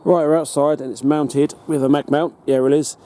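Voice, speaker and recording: A British man giving a casual hands-on demonstration as a hobbyist, in a halting, matter-of-fact way. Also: fairly high pitch, little pitch movement, warm tone. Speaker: hobbyist presenter. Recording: noticeable background noise.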